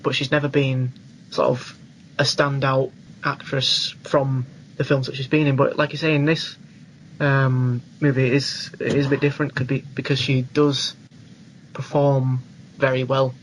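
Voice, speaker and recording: A man's voice: medium pitch, little pitch movement, normal speed. A young British man co-hosting a film podcast, giving his opinions in casual chat. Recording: clearly noisy background ambience.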